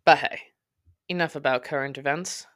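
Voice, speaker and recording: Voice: medium pitch, moderate pace, fairly bright tone, no room sound, studio quality. A podcast host delivering dramatic scripted storytelling in a theatrical, sardonic, verbose manner with scornful emphasis.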